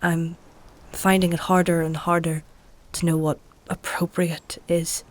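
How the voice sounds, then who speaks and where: Actress as fantasy healer: weary woman, lower voice, dry wit, matter-of-fact delivery. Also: little pitch movement, a little slow, close to an everyday voice. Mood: reflective, dry. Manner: wry, coaxing, matter-of-fact. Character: weary, dry-witted woman healer tending a dying stranger. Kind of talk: dark fantasy audio drama, narration and dialogue.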